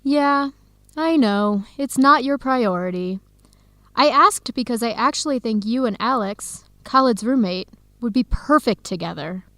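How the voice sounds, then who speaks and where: An actress playing a warm-hearted young woman in an educational radio drama, expressive and conversational. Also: a little high, unhurried, dry recording. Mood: friendly, teasing. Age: young adult. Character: Helen, warm-hearted young woman, defensive about her boyfriend.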